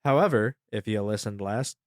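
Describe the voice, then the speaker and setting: A man's voice: lowish voice, normal speed, no echo, clean studio sound. A man co-hosting a podcast, explaining his opinions casually, with hedges and some swearing. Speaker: podcast co-host. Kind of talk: casual discussion of a web series.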